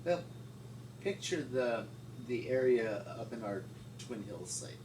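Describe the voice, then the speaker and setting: A middle-aged man reminiscing informally as an interviewee, in a halting, folksy way. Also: mid-range voice, animated. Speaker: paleontologist interviewee. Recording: distant mic, echoey room, loud noise.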